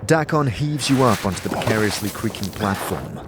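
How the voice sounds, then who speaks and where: Low-voiced man narrating dark fantasy. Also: animated, heavy background noise. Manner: deliberate, theatrical storytelling. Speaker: narrator and game master. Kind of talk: dramatic scripted second-person fantasy narration. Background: music bed.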